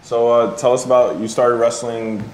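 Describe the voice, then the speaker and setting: A man's voice: medium pitch, fairly even intonation, moderate pace, a little mellow. A man hosting a casual, friendly interview in an echoey studio with faint background noise, using short informal questions. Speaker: interviewer, host. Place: indoor studio.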